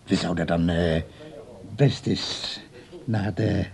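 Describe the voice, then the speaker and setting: An actor playing a lonely old man in a radio play, hesitant and husky, wistful but quick to defend himself. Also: sits low, steady tone, a little mellow, heavily acted. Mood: tender, wistful. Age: older, over sixty.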